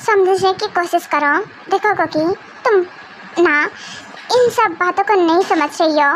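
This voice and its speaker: A tender, protective husband, acted out by a performer in a romantic audio drama. He sounds high and bright for a man, speaking in a hesitant, soothing, coaxing way. There is faint noise on the recording. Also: measured pace, rough recording. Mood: soothing, tearful.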